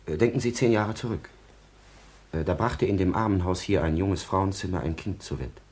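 A deep-voiced, secretive gentleman played by an actor from a 1950s radio play. He is guarded and curt, picking his words with hesitation like a man with a scheme. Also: normal speed, close to an everyday voice. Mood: formal, guarded. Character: secretive, scheming gentleman villain seeking information. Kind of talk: 1950s German radio drama acting.

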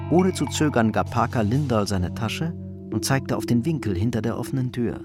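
A man's voice: medium pitch, animated, normal speed, music in the background, lightly acted. A man narrating a crime radio drama in a sober, matter-of-fact literary storytelling manner.

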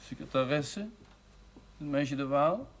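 A man's voice: a little high, lively intonation, slow pace. An actor playing a blunt, outspoken village doctor who lectures his patients, speaking Dutch with a hard northern G in an old TV drama soundtrack. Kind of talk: 1970s TV drama acting. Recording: 1970s TV soundtrack with faint hiss.

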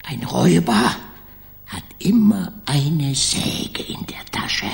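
An actor playing a gruff, cocky but protective robber in a radio play, speaking bluntly and colloquially. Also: male voice, a little high, sing-song, slow pace, a little hiss or hum, full character voice. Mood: cocky, low.

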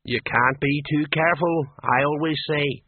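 A man's voice: on the high side, fairly even intonation, moderate pace, slight background noise, lightly acted. A man voicing a cheerful, chatty, plodding watch sergeant in an audiobook, in a plain good-natured manner. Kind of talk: audiobook character voice. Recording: narrow phone-like radio sound.

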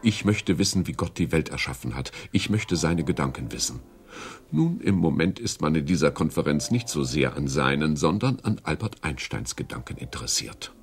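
A man's voice: rumbling low, very animated, moderate pace. A man narrating a radio drama in a solemn, ironic tone. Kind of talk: scripted narration.

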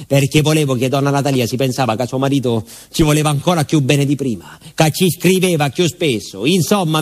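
Actor playing a rustic southern Italian villager, grieving storyteller. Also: male voice, mid-range voice, natural voice. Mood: confiding. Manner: colloquial, rustic, addressing an unseen listener with rhetorical questions. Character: aging southern Italian village man, grieving former emigrant miner. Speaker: stage actor. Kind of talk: theatrical monologue storytelling.

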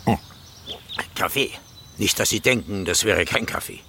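Radio-drama role: fussy, chatty postman, forceful and lively, self-important, outdoor noise behind. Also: low-pitched, unhurried, heavily acted. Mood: teasing, officious. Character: chatty, officious, teasing male postman. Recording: clear background noise, outdoor ambience.

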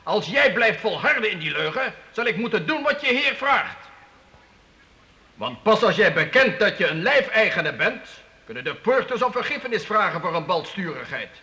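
An actor playing a stern but fair medieval count in a radio drama, commanding and formal. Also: male voice, sits high, very animated, normal speed, pushed and bright, heavily acted. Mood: measured, grave. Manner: commanding, lordly, formal archaic speech.

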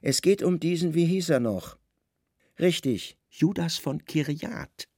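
A slow, gravelly, commanding Roman governor. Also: male voice, on the high side, mellow, no echo, studio quality. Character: stern, commanding Roman governor, slyly plotting, middle-aged man. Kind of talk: radio play dialogue.

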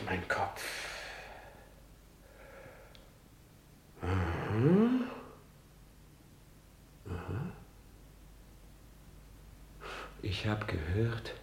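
An actor playing a tormented, self-loathing prince in a classical radio drama, declaiming in a way that swings between whispers and outcries. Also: male voice, lowish voice, a little hiss or hum. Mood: brooding, scheming. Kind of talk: classical stage-style Shakespeare radio drama monologue. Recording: roomy, echoing radio drama studio.

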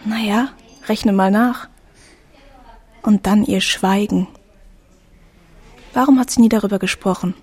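An actress playing a probing, skeptical teenage daughter in radio drama dialogue. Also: female voice, medium pitch, fairly even intonation, a little slow, long pauses, a little mellow, husky. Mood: curious, probing.

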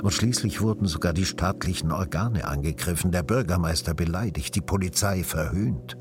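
An actor playing a grave witness who recounts events in measured short phrases in a radio drama. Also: male voice, low voice. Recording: music behind the voice.

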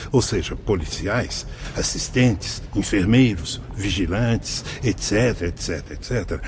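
Solemn spirit teacher, swooping delivery. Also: male voice, fairly low pitch, darkish tone, slight background noise. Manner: didactic, formal, emphatic. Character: learned spirit guide, a solemn, patient male instructor. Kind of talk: radio drama acting. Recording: music behind the voice.

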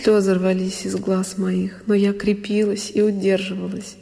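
Music plays softly behind an actress as a sorrowful young woman telling of her lonely childhood. Her voice sits in a middle register. She speaks intimately and deliberately, as in an expressive radio drama of classic literature. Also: medium pitch, gravelly, natural voice. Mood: wistful, pained. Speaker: solo actress. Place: studio radio production.

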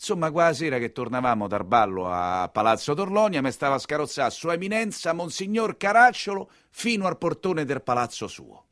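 A man's voice: a little high, sing-song, a little fast, hardly any pauses, fairly bright tone, no room sound, clean studio sound. A man with a Roman accent performing a theatrical storytelling monologue as a coachman, in colloquial, musing dialect with chatty asides. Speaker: stage actor narrating as a papal-era coachman.

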